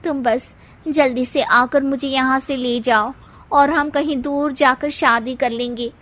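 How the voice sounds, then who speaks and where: A woman plays a scheming young sister-in-law in a cartoon. Her voice is high and bright, with a snide, conniving manner. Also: steady tone, measured pace. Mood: secretive, eager. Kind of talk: animated moral story dialogue.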